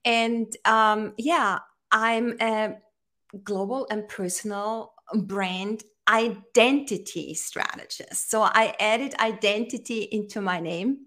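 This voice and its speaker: A woman from Austria, a brand strategist and interview guest, speaking earnestly and inspirationally with slightly halting phrasing. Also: medium pitch, bright, forceful tone. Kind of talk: conversational interview.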